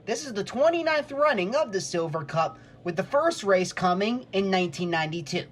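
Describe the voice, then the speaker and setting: A man's voice: high-pitched, lively intonation, a little fast, a little hiss or hum. A man working as a sportscaster, delivering play-by-play and scripted reporting.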